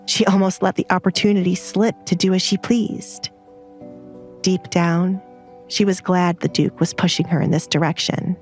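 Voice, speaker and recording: A woman's voice: fairly low pitch, a little mellow. A woman narrator reading a scripted dramatic story.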